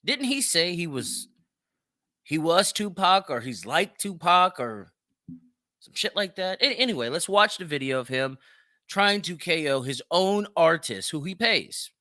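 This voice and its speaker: A man's voice: on the high side, very animated, unhurried, sharp tone. An American man giving blunt, profane, slangy solo commentary as a podcast host, repeating himself and asking rhetorical questions for emphasis. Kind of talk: opinionated solo commentary on celebrity news.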